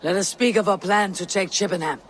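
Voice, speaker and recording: An actor playing a fierce, vengeful Viking warrior leader in a video game cutscene, commanding and grave, with a gravelly voice. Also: male voice, high voice, a little fast, fairly bright tone, a little hiss or hum. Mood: resolute, commanding.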